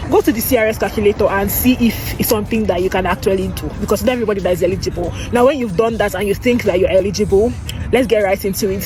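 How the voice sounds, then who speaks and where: A man's voice: high-pitched, noisy background. A man giving step-by-step advice in an emphatic, instructive vlog style. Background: music.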